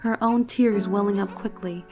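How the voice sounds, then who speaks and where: A woman with a mid-pitched voice narrates a dramatic first-person story. Her delivery is emotive and solemn, and faint music plays behind her. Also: steady tone, normal speed. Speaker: fan fiction narrator.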